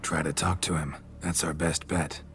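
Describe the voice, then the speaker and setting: A man playing a stoic, world-weary monster hunter in a video game, speaking in terse, deadpan phrases. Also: very deep voice, moderate pace, a little hiss or hum. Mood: calm, matter-of-fact.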